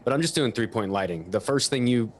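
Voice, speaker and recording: A man's voice: medium pitch, expressive, fairly quick, slight background noise. A man chatting casually as a panelist in an online session from a small office, rambling a little and poking fun at himself. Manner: self-deprecating, rambling. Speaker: panelist who teaches about microphones. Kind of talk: casual chat in a webinar.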